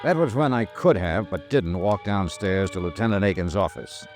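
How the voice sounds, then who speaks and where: Cool terse american private eye, old time radio drama, lowish lively voice, bit of music. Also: male voice, normal speed. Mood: wry, matter-of-fact. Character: hard-boiled male insurance investigator, cool and terse. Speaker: lead actor and narrator. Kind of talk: 1950s American radio detective drama acting.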